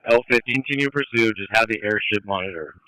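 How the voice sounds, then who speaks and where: A man's voice: lowish voice, fast talker, bright, forceful tone, clear, resonant tone, poor audio. A man giving terse, commanding orders over a police radio, like a supervisor directing units. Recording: police scanner radio transmission.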